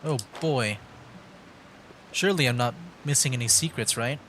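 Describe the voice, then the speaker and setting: A man's voice: mid-range voice, normal speed, a bit bright, a little hiss or hum. A man livestreaming a video game, thinking aloud in a casual, rambling, hesitant way. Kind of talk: casual gameplay commentary.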